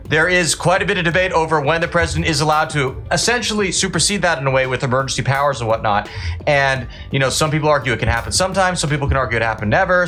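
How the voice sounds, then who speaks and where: A man's voice: on the high side, bright, forceful tone. A man giving opinionated political commentary in a conversational, explanatory and emphatic way. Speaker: commentator reacting to a clip.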